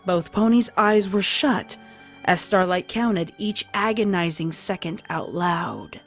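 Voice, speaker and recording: A woman's voice: on the low side, lively intonation, slow pace, soft background music. A woman reading a scripted story as a dramatic narrator.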